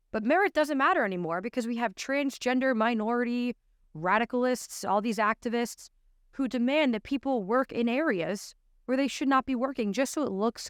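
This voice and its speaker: A woman's voice: fairly high pitch, dry recording. An American woman in her twenties giving emphatic, incredulous opinion commentary to camera, close on a studio microphone. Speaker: commentator and show host. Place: small indoor studio. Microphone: close studio microphone on an arm.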